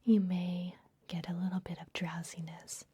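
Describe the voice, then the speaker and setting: A woman voicing a secretive, gentle vampire librarian in a breathy, soothing whisper for an ASMR fantasy roleplay. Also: lowish voice, little pitch movement. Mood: gentle, instructive. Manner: slow, soothing, confiding.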